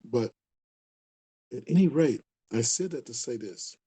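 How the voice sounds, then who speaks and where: A man's voice: medium pitch, animated, unhurried, mellow, husky. Earnest older community leader, a man in his sixties with an Upper Midwest accent, talking loosely and repeating points. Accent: Upper Midwest American. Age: 60s. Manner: earnest, rambling, repeats himself for emphasis. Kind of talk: casual talk to camera.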